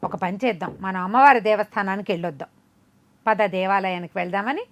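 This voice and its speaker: A woman's voice: medium pitch, quick pace, lightly acted. A woman storyteller voicing a queen's loyal, suspicious maid, questioning and protective, in a folk tale. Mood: concerned.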